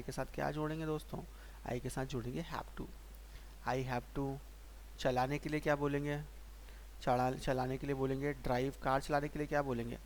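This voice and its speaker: A man teaching English grammar in Hindi, patiently asking and answering his own questions and slowing down to spell out example sentences. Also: mid-range voice. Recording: faint hiss.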